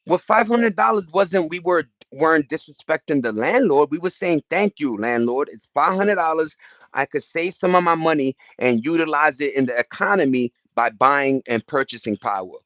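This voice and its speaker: A man's voice: fairly high pitch, animated, normal speed, fairly bright tone, no echo, dull audio. A man with an American accent talking casually on a livestream, passionate and emphatic, rambling in slangy street talk. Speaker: livestream host reading and answering viewer chat.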